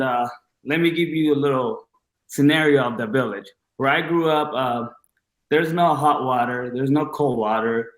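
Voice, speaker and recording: Roomy-sounding young man from Bangladesh, casual. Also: medium pitch. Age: 20s. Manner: informal, slangy, enthusiastic. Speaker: podcast guest. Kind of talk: casual personal storytelling.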